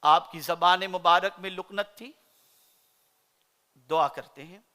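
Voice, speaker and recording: A man from India, preaching a sermon in an oratorical style that swings between loud declaiming and soft recitation. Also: high voice, slow. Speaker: Islamic preacher.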